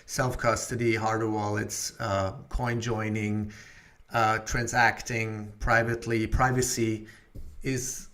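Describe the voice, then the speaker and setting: A man's voice: on the low side, little pitch movement, takes it slowly, fairly bright tone. A man hosting an interview, asking long, rambling questions that stall on "like" and "you know". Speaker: host.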